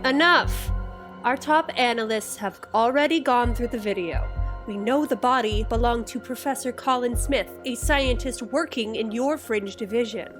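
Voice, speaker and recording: Woman acting female army general, audio drama, high voice, sarcastic, forceful, music bed. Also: moderate pace, natural voice. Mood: sardonic, pressing. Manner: brusque, sarcastic.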